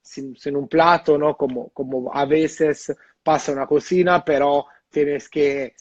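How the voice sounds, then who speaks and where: A man with an Italian accent, speaking Spanish as a guest bartender and often pausing with fillers and tag questions. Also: on the high side, fairly even intonation, no echo. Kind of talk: casual interview over video call. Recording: muffled dry lo-fi audio.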